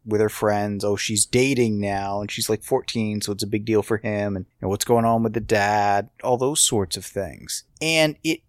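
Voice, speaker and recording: A man's voice: lowish voice, moderate pace, few pauses, no echo, studio quality. A man hosting a podcast in a casual discussion style, explaining at length in a rambling way and often restarting or correcting himself. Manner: rambling explainer, frequent false starts and self-corrections. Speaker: podcast host.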